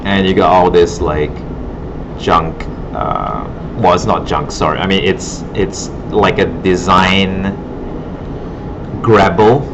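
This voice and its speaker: A man's voice: sits low, slow, gravelly. A man teaching informally as an instructor, thinking aloud in a hesitant way, recorded in a classroom. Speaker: digital art instructor. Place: indoor classroom. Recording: muffled, noisy classroom recording with air-conditioning hum.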